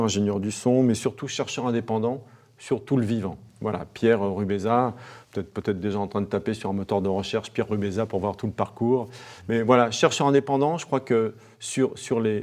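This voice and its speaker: Low-voiced male interviewer, chatty. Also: darkish tone. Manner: chatty, explanatory, with tag questions. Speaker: host. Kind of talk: conversational broadcast interview.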